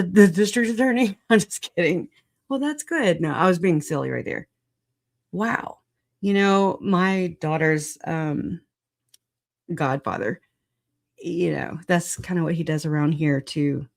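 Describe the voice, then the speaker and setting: A livestream host with a raspy voice, hoarse after a recent illness, chatting casually and laughing a lot at themselves. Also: mid-range voice, a little slow, darkish tone. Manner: laughs often, self-deprecating, chatty. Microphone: computer mic on streaming software.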